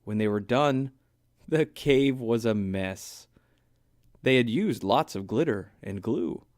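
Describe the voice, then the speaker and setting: A man narrating a rhyming children's story aloud with expressive, deliberate phrasing. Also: mid-range voice, no echo, studio quality.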